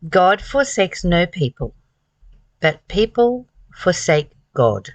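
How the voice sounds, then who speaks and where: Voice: mid-range voice, dry recording, muffled recording. A host reading aloud from a spiritual book and commenting on it, earnest and emphatic, with repeated phrases and rhetorical questions.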